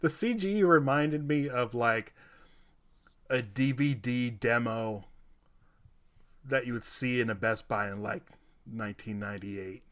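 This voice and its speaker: Casting: man, mid-pitch, easygoing podcast co-host, dry close mic. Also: unhurried, long pauses, fairly bright tone, no echo. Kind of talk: casual movie discussion.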